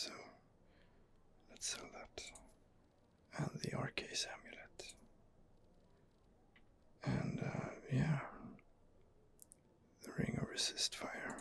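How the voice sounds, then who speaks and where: A man whispers close to the mic with a very gravelly, crackling creak. He narrates a game in an ASMR style, hesitant and thinking aloud as he goes. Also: medium pitch, fairly bright tone. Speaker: gaming ASMR host. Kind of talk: whispered ASMR role-play gameplay narration. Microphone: close microphone.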